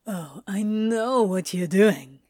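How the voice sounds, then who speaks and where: Woman voicing a slow, teasing male exercise instructor. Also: medium pitch, a little mellow, no echo, clean studio sound, close to an everyday voice. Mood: playful, knowing. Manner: playful, coaxing, firm. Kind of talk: acted audio fiction reading.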